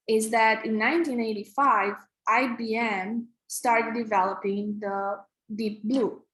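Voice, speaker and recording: A woman in her thirties from Romania with a Romanian accent, teaching a live online coding class in a halting way, full of fillers and restarts. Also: mid-range voice, a bit bright, roomy sound, poor audio. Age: 30s. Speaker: coding instructor.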